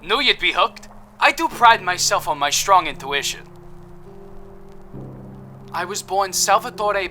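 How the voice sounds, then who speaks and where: A man's voice: high voice, sharp tone, full character voice. An actor playing a cheerful, boastful male apothecary suspect in an audio drama, with a chipper, self-satisfied, theatrical manner. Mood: smug.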